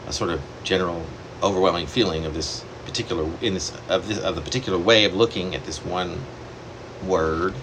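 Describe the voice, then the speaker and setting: A man reading his own long poem aloud in a wandering, self-correcting way, with sudden leaps in pitch. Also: background noise. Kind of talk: poetry reading.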